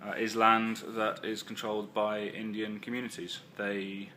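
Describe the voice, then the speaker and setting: Low-ish guy rambling about his trip into a phone, lots of ums, faint hiss behind. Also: monotone, unhurried, bright, forceful tone. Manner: hesitant, many ums and uhs, self-correcting. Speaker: travel vlogger. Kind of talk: casual rambling travel recap. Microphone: phone or handheld camera.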